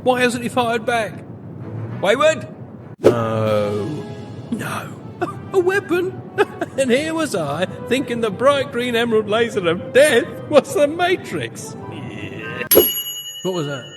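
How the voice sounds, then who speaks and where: A man playing a flustered, sarcastic spaceship adventurer in a comedy audio drama, with an excitable, rambling delivery. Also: very high voice, takes it slowly, exaggerated voice. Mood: amazed, wry. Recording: loud music under the voice.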